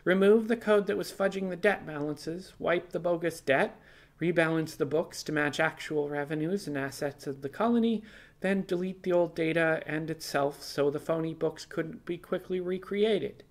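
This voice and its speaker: A man's voice: fairly high pitch, normal speed, pitched above the performer's own voice, lightly acted. A man voicing a defiant, earnest young female hacker on the run in an audiobook, explaining herself with wry asides. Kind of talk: audiobook character dialogue.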